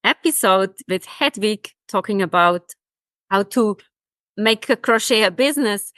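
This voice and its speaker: A woman's voice: medium pitch, lively intonation, a little slow, a bit bright, no echo. A woman hosting a podcast, introducing guests and asking interview questions.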